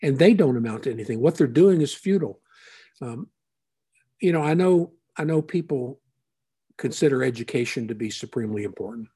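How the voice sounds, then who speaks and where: A man preaching a conversational sermon as a pastor, unhurried and thinking aloud with frequent asides. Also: medium pitch, lively intonation, warm tone, slightly rough.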